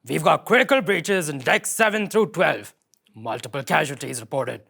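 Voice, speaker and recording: A man playing a grim starship bridge officer with a rough, gravelly voice, delivering dire reports in a sci-fi reading. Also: fairly high pitch, animated, moderate pace, bright, forceful tone, exaggerated voice. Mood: grave, urgent. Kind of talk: sci-fi comedy table read.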